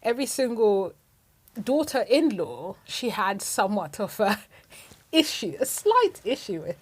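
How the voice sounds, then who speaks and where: Lively Zimbabwean-born woman storyteller. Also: medium pitch, measured pace, breathy edge. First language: Shona. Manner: animated, laughs often, acts out quotes. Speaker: podcast co-host. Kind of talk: casual chat, storytelling.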